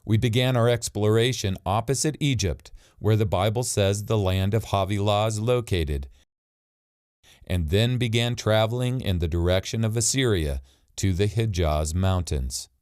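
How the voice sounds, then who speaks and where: A man's voice: fairly low pitch, normal speed, darkish tone, clear, resonant tone, no room sound, clean studio sound. A middle-aged man who grew up in Saudi Arabia, explaining and narrating as the host of an expedition documentary vlog.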